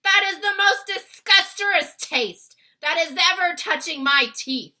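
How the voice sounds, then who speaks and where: A woman's voice: sits high, bright, forceful tone, voice pushed up, exaggerated voice, rough recording. A woman voicing a brutish, gluttonous, ill-tempered male giant in a children's storybook, roaring out mangled grammar. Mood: disgusted, shouting. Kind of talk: acted children's storybook read-aloud.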